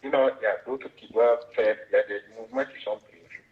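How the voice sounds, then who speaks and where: A man with a West African French accent speaks haltingly on a private phone call, searching for words. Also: medium pitch, fairly even intonation. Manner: halting, searches for words, vague coded wording. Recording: tinny narrow-band phone line.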